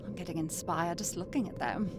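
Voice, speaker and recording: A woman's voice: on the low side, brisk, very noisy, close to an everyday voice. A woman voicing a refined, sardonic lady in a visual novel game, speaking with mannered, wordy politeness. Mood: breezy, refined. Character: refined, sardonic young lady with old-fashioned, genteel airs.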